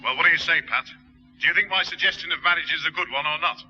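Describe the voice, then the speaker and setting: Brash, piercing suitor. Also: male voice, on the high side, quick pace, pushed and bright, breathy edge, soft background music, exaggerated voice. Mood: jovial. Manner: brash, blunt. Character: brash, scheming, hard-hearted male suitor. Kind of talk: 1960s radio sitcom acting. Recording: harsh, muffled old radio recording.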